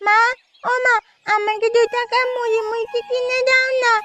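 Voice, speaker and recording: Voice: very high-pitched, little pitch movement, moderate pace, crowd noise. An actor playing an eager, cheeky little village girl with a childish, inquisitive manner in a cartoon story. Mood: excited.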